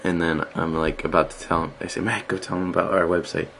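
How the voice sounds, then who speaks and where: A man's voice: low voice, quick pace, creaky, slight background noise. A man with a Boston accent, co-hosting a casual podcast and telling jokey stories. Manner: joking, animated storytelling. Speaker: podcast co-host. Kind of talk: casual chat.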